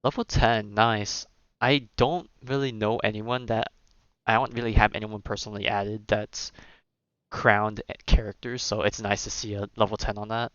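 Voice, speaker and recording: A man with a fairly low voice chats casually on a game stream, rambling as he thinks aloud. The sound is dry and slightly dull, with faint music behind him. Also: little pitch movement, normal speed, a bit bright, clear, resonant tone, no echo. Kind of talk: casual livestream gameplay commentary.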